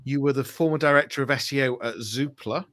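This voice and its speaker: Male podcast host, medium pitch. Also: brisk, fairly bright tone. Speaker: podcast host and digital marketer. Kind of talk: scripted intro and live interview hosting.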